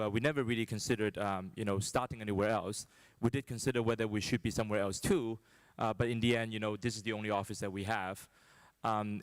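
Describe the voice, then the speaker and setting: Man, low smooth voice, dry sound, relaxed panel answers. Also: no room sound. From Hong Kong. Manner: relaxed, many fillers like um and you know. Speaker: panel guest, fund manager. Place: conference stage.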